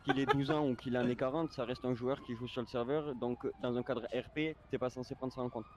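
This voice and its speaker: A man's voice: medium pitch, fairly even intonation, fairly quick. A man moderating an online roleplay game over voice chat, speaking casually in a firm, admonishing, explanatory way.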